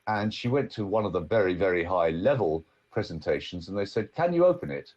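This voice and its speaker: Husky, animated man telling a story. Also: low-pitched, fairly quick, muffled recording. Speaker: guest speaker coach. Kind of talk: casual podcast storytelling.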